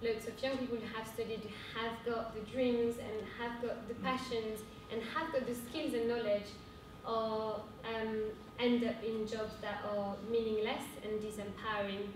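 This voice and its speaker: A young woman with a French accent giving an earnest conference talk, pausing often with fillers. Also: level tone. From France. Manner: earnest, hesitant with frequent fillers. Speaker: cooperative speaker. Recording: echoey hall from far off with rumble.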